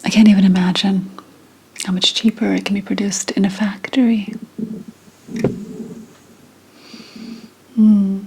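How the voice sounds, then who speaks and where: A woman playing an indignant, bitter 17th-century witch and healer in a whispered ASMR roleplay, deliberate and scornful. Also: medium pitch, flat delivery, dark tone, husky. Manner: slow, deliberate, scornful whispering with pauses and sighs.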